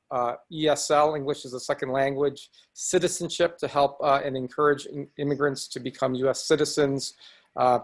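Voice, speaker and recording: Earnest middle-aged man hosting a webinar. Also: medium pitch. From Chicago area, United States. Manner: earnest, halting, with frequent restarts. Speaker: nonprofit executive director, webinar host. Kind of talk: presenting in a webinar. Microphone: video call.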